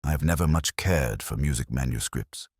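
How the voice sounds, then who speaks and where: A man playing a melancholy, reflective police detective, narrating his case in a measured, literary, brooding manner for a mystery audio drama. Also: a bass voice, slightly rough, dry recording, studio quality. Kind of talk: scripted first-person noir-like mystery narration.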